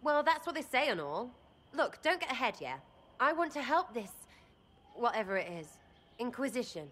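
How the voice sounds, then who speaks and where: A woman's voice: a little high, very animated, moderate pace, a bit bright. A woman voicing a brash, irreverent young rogue in a video game, with an English accent and a blunt, slangy, rambling manner. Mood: blunt, casual.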